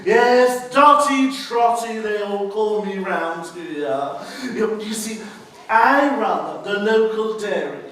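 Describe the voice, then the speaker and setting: Man as a high-voiced, echoey British pantomime dame. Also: expressive, takes it slowly, fairly bright tone, exaggerated voice. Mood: chatty, cheeky. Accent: British English. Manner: exaggerated, theatrical, chatty, coaxing the audience. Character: Dame Trot, boisterous, cheeky, motherly middle-aged pantomime village woman. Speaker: pantomime performer. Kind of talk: live pantomime monologue with audience address. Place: theatre stage, recorded from a distance. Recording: roomy echoing stage, distant mic, faint noise.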